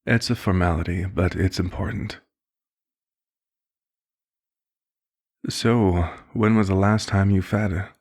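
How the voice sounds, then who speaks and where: Man playing a deep, gravelly, courteous elder vampire. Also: fairly even intonation, darkish tone. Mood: concerned. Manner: formal, measured, polite wording. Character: elder male vampire doctor, courteous, concerned, gently authoritative mentor. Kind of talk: ASMR roleplay, scripted one-sided dialogue.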